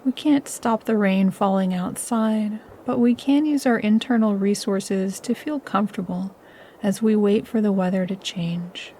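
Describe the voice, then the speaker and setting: A woman leading a scripted guided meditation in a soothing, measured, instructional manner. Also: medium pitch, dark tone, a little hiss or hum.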